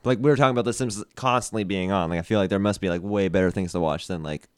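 A man's voice: low voice, very fast, smooth, no room sound, clean studio sound. A young man from Canada, a comedian guest on a podcast, rambling casually with many "like" fillers.

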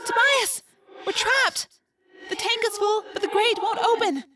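Woman voicing a high, hushed, desperate young girl. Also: measured pace, recorded from a distance, heavy background noise. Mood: urgent, pleading. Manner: hushed, urgent, pleading. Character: trapped young girl fighter, grim, resigned and brave.

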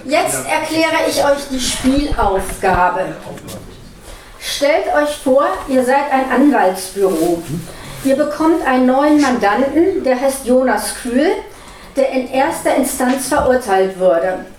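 Woman game leader explaining rules, high voice, roomy echoey live recording. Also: lively intonation, slow, darkish tone. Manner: step-by-step, instructing. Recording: echoey room, distant microphone.

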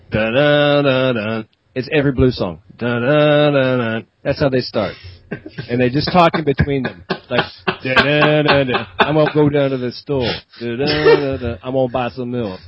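Male co-host, husky mid voice, rambling banter, dull dry recording. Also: medium pitch. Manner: rambling, with many restarts and sung riffs. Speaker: podcast co-host. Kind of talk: casual banter.

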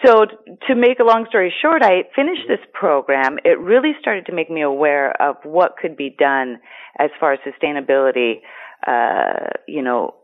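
A woman's voice: sits low, expressive, moderate pace. Greek woman on a tinny phone line. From United States. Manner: rambling, frequent fillers and restarts. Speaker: guest. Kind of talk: casual interview talk.

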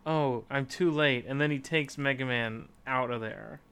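A man co-hosting a casual gaming podcast, speaking in a halting, hesitant way. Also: mid-range voice, fairly bright tone, smooth. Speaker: podcast co-host. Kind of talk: casual video game podcast chat.

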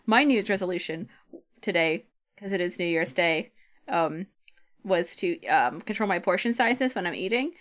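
A woman's voice: lowish voice, animated, normal speed, fairly bright tone. A woman co-hosting a podcast in casual, chatty talk, with a jokey, giggly manner. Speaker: podcast co-host.

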